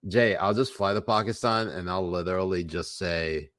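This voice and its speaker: A man's voice: low voice, moderate pace, crowd noise. A young man hosting a casual comedy podcast, joking crudely and rambling with restarts. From United States. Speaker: podcast host.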